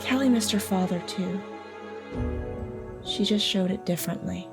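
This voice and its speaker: A woman's voice: mid-range voice, moderate pace, creaky, music in the background, lightly acted. A woman playing a devoted soldier's wife and mother in an audio drama, telling her story tenderly and reflectively. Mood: sad, reflective.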